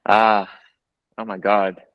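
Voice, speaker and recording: Deep-voiced Brazilian man on a video call. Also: level tone, takes it slowly, clear, resonant tone, no echo, dull audio. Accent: Brazilian Portuguese. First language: Portuguese. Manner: thoughtful, many fillers and restarts. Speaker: photographer and guest. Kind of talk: casual video call interview. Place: living room at home. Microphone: earbuds on a video call.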